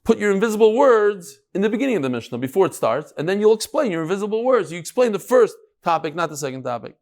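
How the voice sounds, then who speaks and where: Animated, higher-pitched man teaching. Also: fast talker. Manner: conversational, emphatic, peppered with rhetorical questions and Hebrew terms. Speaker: rabbi giving a daily Talmud class. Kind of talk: informal Talmud lecture to a live class.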